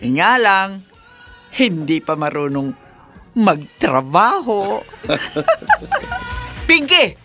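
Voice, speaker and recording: A greedy brothel madam in a Filipino radio drama. She has a Filipino accent and very animated pitch, pushy and domineering, with faint music underneath. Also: very slow, pauses often, full character voice. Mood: coaxing, teasing. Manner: coaxing with clients, scolding and domineering with staff. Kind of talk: Philippine radio drama acting.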